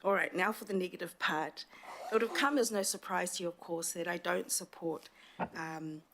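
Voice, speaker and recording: A middle-aged woman from Samoa, whose first language is Samoan, speaking in a formal council debate into a desk microphone, measured and reaching for proverbs. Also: lowish voice, fairly bright tone, a little hiss or hum. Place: large council chamber indoors.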